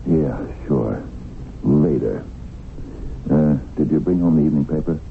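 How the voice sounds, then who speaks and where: A man's voice: extremely low pitch, unhurried, creaky, heavily acted. An actor playing an ambitious, money-minded young businessman in a radio drama, hesitant and stammering in manner. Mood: distracted, defensive. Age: young adult. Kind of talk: 1970s radio drama acting. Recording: tinny, noisy old radio broadcast.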